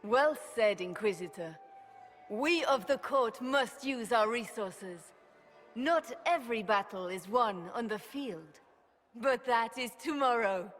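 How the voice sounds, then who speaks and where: A woman's voice: on the high side, lively intonation, takes it slowly, a bit bright. An actress playing a regal, composed empress in a video game, speaking formally and measuredly to her court. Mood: proud, ceremonial. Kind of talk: formal dramatic game acting. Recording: long hall reverb over music.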